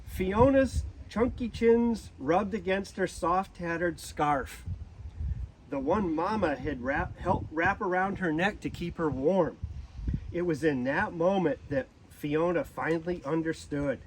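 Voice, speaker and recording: Male storybook reader, high-pitched and unhurried, deliberate delivery, faint background noise. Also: rough recording. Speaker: zoo director reading a storybook. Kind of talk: children's storybook read-aloud.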